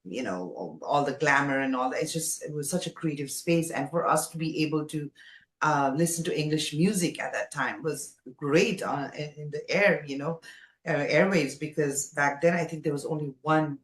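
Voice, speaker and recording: A woman's voice: sits low, normal speed. Effusive woman in an echoey room. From Nepal. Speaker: podcast guest, former radio jockey. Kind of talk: casual conversational interview. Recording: roomy, echoey sound.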